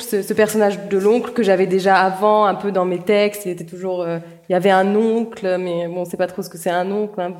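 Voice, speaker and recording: A woman's voice: mid-range voice, a little mellow. A woman novelist answering interview questions about her first book, thoughtful and hesitant, with frequent restarts and fillers. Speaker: novelist guest.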